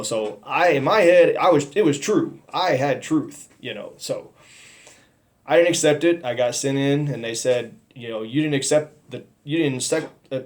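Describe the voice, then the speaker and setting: Man, middle-aged, personal testimony, halting, rambling, many fillers, mid pitch. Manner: rambling, halting, many restarts and "you know". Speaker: testimony speaker.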